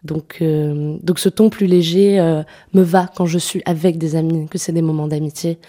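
A young woman singer-songwriter answering interview questions, speaking softly with many hesitations and restarts. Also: sits low, fairly even intonation, normal speed. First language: French. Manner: soft-spoken, hesitant, many fillers and restarts. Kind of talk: radio interview conversation.

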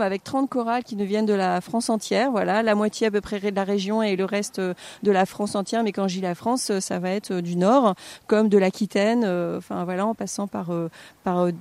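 A woman directing a choral festival, explaining the program in an interview with many pauses and fillers. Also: mid-range voice, a little mellow, no room sound. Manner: hesitant, many fillers and restarts. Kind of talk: radio interview.

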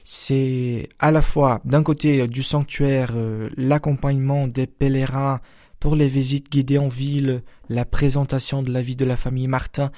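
Hesitant young man, mellow voice. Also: medium pitch, level tone, moderate pace, no echo, clean studio sound. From Brazil. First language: Portuguese. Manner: hesitant, many fillers and restarts. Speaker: seminarian. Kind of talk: radio interview answers.

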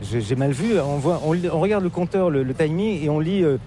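A man from France with a French accent, interviewing casually, curious and joking, often halting mid-sentence. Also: fairly high pitch, lively intonation, dark tone, faint music behind.

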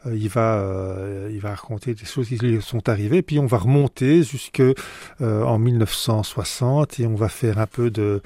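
A man's voice: on the low side, normal speed, dark tone, no room sound, clean studio sound. A man from Belgium, an author answering radio interview questions, hesitant with many fillers and restarts.

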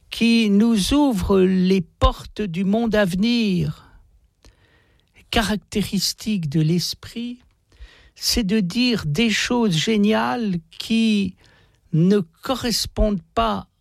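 Slow, creaky-voiced man hosting radio. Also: sits high, no echo, studio quality. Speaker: radio host. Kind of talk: radio monologue and interview.

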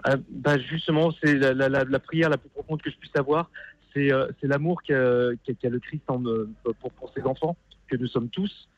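A man calling a radio show by phone, giving earnest personal testimony and haltingly repeating words as he searches. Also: mid-range voice, steady tone, no echo. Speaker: phone-in caller, funeral worker. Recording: dull band-limited phone call.

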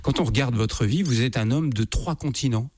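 A man hosting a radio interview, asking measured questions and giving short murmurs of agreement. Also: mid-range voice, a little mellow, no room sound.

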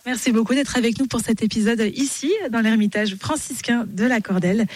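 Brisk radio interviewer. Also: medium pitch, steady tone, no room sound. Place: outdoors at a building site.

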